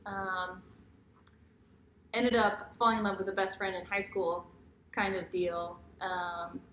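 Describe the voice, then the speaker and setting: A woman's voice: medium pitch, flat delivery, takes it slowly, fairly bright tone. An American woman in her mid twenties telling personal stories in a casual, candid way as she co-hosts a Q&A. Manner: informal, candid. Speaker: vlogger, co-host of couple's Q&A. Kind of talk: casual chat, personal storytelling. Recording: echoey room, faint background noise.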